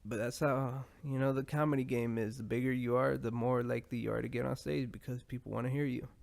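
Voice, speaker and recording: A man in a relaxed, rambling podcast monologue with a gravelly edge and plenty of creak at the bottom of his voice. He drifts from one tangent to the next, loose and profane, at a mid-range pitch. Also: fast. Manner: rambling, profane, laid-back. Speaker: podcast host.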